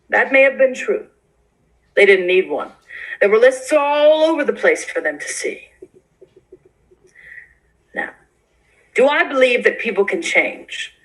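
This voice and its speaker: A woman's voice: medium pitch, a bit bright, low-quality recording. A woman playing a brash, self-satisfied male Hollywood newspaper publisher of the 1940s in a staged reading, telling his story in a wry, boastful, conversational way. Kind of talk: theatrical monologue in a staged play reading.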